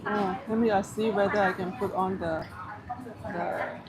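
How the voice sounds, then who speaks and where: A woman's voice: mid-range voice, steady tone, a little slow. A woman co-hosting a live travel vlog, chatting casually in simple, broken English over breakfast. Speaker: vlog co-host. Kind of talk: casual live-stream chat. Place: restaurant at breakfast with crowd around. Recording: busy crowd chatter behind.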